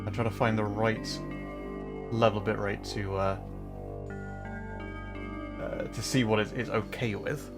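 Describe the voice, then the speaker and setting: A man's voice: on the low side, steady tone, measured pace, long pauses. Rambling male streamer over music. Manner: rambling, self-deprecating, apologetic. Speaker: VTuber streamer. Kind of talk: casual livestream chat. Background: background music.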